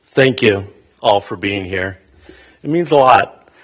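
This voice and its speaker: A man giving a halting funeral eulogy for his mother in a funeral chapel, with many fillers and restarts. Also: on the low side, animated, fairly bright tone, slightly rough.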